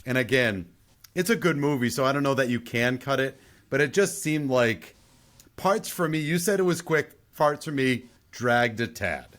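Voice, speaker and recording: Mid-pitched man giving a casual film review. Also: animated, moderate pace, a bit bright. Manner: hedging, repeats himself for emphasis. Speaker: podcast co-host.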